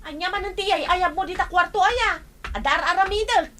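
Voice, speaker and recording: A woman's voice: very high voice, normal speed, sharp tone, slight background noise. A woman playing a whining, nagging wife in an Ilocano radio comedy drama. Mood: complaining.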